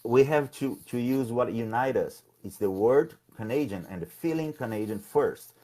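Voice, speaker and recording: A man from Brazil, a political candidate talking in a conversational interview, halting and often repeating his words. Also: medium pitch, dark tone.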